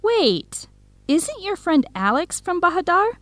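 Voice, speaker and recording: An actress playing an outspoken, caring young woman, a friend in a scripted radio drama, with expressive delivery. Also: very high-pitched, slow, dry recording, exaggerated voice. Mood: surprised. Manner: expressive, animated.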